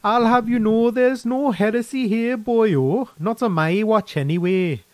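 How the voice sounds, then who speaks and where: A man's voice: high-pitched, measured pace, a little mellow, no echo, pitched above the performer's own voice. A man voicing a brash, defensive former soldier in a story, with a folksy, teasing manner. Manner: folksy, teasing, calls listener lad and boyo. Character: brash, defensive male ex-guardsman turned defector, cheerfully chiding. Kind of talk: short story character reading.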